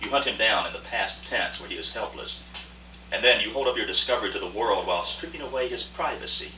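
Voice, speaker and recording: Genteel, wealthy older art dealer played by a male radio actor, formal and courtly, lowish forceful voice, echoey room. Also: animated. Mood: defensive, insistent. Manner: formal, courtly, deliberate storytelling. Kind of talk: radio play acting, rehearsed dialogue. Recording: distant, echoey room with faint noise and hum.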